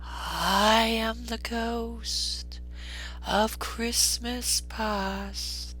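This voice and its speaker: A gentle ageless spirit from a storybook, solemn and stately. The voice is soft and airy, lifted high, and each phrase is drawn out extremely slowly over faint music. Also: little pitch movement. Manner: slow, drawn-out, stately delivery. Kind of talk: dramatic storybook reading.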